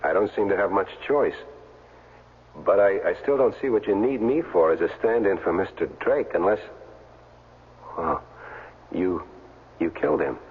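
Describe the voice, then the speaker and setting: A man's voice: low voice, expressive, normal speed, long pauses, slightly rough, heavily acted. Hard-boiled man on tinny radio. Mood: wary, skeptical. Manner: plain-spoken, skeptical, hard-boiled. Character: wary, stubborn down-on-his-luck ex-athlete, tough-guy narrator. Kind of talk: 1970s radio drama dialogue and first-person narration. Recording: tinny vintage radio broadcast, music underneath.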